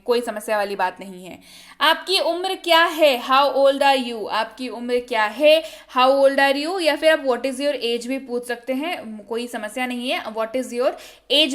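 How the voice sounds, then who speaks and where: A woman's voice: high voice, fairly bright tone. A woman with an Indian accent giving a language lesson, repeating example phrases and checking understanding in a conversational way. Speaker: English teacher. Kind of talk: instructional language lesson, alternating explanation and example sentences.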